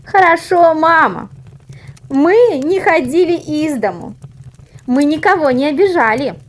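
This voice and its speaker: A woman's voice: sits high, animated, unhurried, pitched above the performer's own voice. A woman voicing mischievous young goat kids in a storybook, answering their mother in a sing-song, dutiful way. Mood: eager, dutiful.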